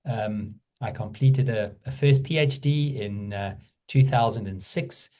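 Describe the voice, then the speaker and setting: A middle-aged man with a South African accent, speaking as a guest in a conversational interview, measured and thoughtful, with frequent fillers. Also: on the low side, warm tone. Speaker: guest, theology professor.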